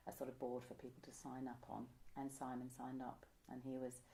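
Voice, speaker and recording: Low-voiced older Englishwoman, chatty. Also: completely flat delivery, brisk, dark tone, slight background noise, low-quality recording. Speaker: interviewee, amateur pantomime writer. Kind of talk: casual reminiscing interview. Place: indoor room by a window, seated.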